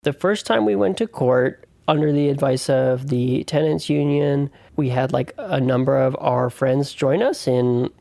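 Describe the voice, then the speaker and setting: A man's voice: medium pitch, unhurried, vocal fry, hardly any pauses, warm tone, no room sound. A man explaining things casually in an interview. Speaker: tenant being interviewed.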